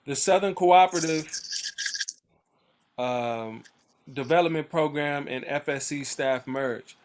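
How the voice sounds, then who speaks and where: A man's voice: fairly high pitch, slow pace. A man leading a book club over a video call, reading timeline entries aloud and commenting on them in a loose, conversational way with frequent fillers and restarts. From Oakland area, US. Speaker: book club discussion leader.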